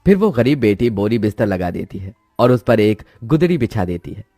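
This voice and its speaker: Male narrator, low register, scripted storytelling, dry recording with a music bed. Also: very animated, moderate pace, warm tone, no room sound. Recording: music behind the voice.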